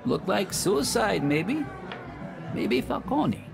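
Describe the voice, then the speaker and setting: A man's voice: high voice, very animated, slow pace, gravelly, over music, heavily acted. An actor playing a grim, jittery underworld man, dramatic and bitter, in a noir video game. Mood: grim, tense. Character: grim, jittery male underworld friend, bitter about the city.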